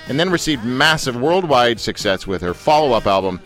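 A man's voice: mid-range voice, lively intonation, a little fast, a bit bright, soft background music, poor audio. A man hosting a radio interview, chatty and self-deprecating, who laughs often. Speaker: radio host.

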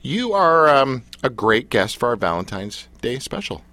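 A man's voice: medium pitch, very animated, unhurried, no echo. A man hosting a radio show in a studio, joking and teasing his guest in a playful, loose manner. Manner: playful, teasing, wide swings in loudness. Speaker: radio host. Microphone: studio mic.

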